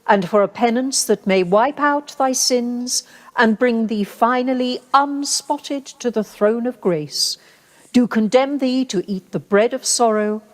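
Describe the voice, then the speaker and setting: A woman's voice: fairly high pitch, measured pace, natural voice. A woman playing a solemn, authoritative church judge in a radio play, with grave and formal delivery. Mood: solemn judgment. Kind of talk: radio play acting, formal archaic speech. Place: actor's home, live remote recording.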